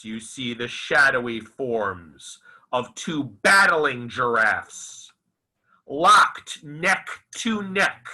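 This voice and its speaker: A man reciting his own literary fiction as its author, in a theatrical, deliberate and emphatic manner. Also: on the high side, pushed and bright. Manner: dramatic, deliberate, emphatic with repeated phrases. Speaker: author reading own novel aloud.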